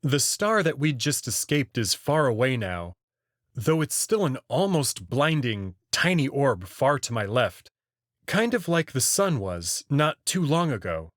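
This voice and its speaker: A man narrating a first-person sci-fi story in a slow, measured reading. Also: medium pitch, very animated, a bit bright, dry recording, clean studio sound.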